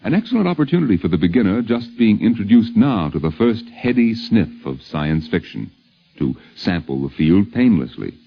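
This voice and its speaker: A man's voice: fairly low pitch, big pitch swings, moderate pace, vocal fry, a little hiss or hum. An American man narrating a 1950s radio documentary from a script, with polished, wry, theatrical phrasing. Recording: muffled 1950s radio broadcast.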